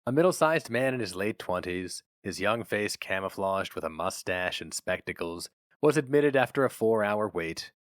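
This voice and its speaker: A man's voice: lowish voice, lively intonation, normal speed, dry recording, studio quality. A man reading a short story aloud as dramatic audiobook narration. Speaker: story reader.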